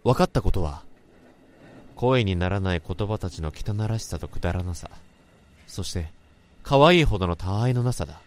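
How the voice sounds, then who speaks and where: A man's voice: low-pitched, expressive, normal speed, long pauses. A man playing a brooding office worker who narrates his story in a somber, introspective radio drama. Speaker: narrator and lead. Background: music.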